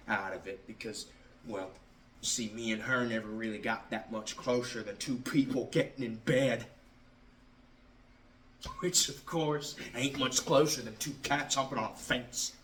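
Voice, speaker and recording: A man performing a stage monologue as a bitter, drunken Southern ex-athlete confronting his father, halting and repeating his words. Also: lowish voice, fairly even intonation, a bit bright. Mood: resentful. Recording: roomy, distant mic, faint noise.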